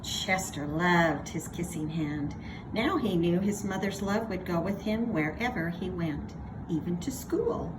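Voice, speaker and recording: A woman narrating a children's story aloud in an expressive read-aloud style. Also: on the low side, a little slow, noisy background.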